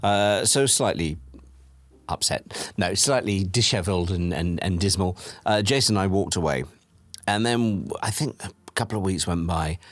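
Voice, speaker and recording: A low-pitched man in middle age speaks as a producer looking back in a documentary interview. He talks casually and anecdotally, and the sound is close and dry. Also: no echo. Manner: anecdotal, quoting past conversations. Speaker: audio drama producer.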